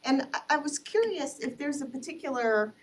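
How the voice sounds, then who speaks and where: A woman's voice: high voice, expressive, normal speed. A woman moderating a panel discussion, thanking speakers and asking gracious questions. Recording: distant mic, faint noise.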